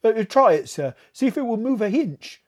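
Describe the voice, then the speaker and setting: A man's voice: high-pitched, very animated, normal speed, voice pushed up. A man putting on a jittery steward. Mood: pleading. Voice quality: raised, fluttery, dropped and added h's. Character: nervous cockney male ship's steward, servile.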